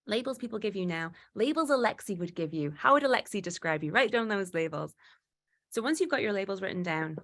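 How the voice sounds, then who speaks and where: A young woman from Newcastle, leading an online workshop in a lively way and acting out the voices she quotes. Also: medium pitch, fairly quick. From Newcastle, England. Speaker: workshop facilitator.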